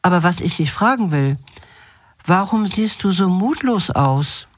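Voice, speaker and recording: A woman's voice: lowish voice, lively intonation, measured pace, no room sound, clean studio sound. Woman reading as a kindly little old woman. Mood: gentle, curious. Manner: gentle, understanding. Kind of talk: fairy tale read aloud.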